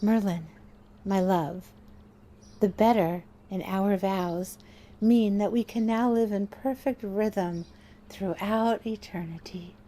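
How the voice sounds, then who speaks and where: A woman's voice: medium pitch, takes it slowly, dark tone, slight background noise, lightly acted. A woman playing the ghost of a loving dead wife in a horror audio drama, tender, solemn and eerily persuasive. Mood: serene, eerie.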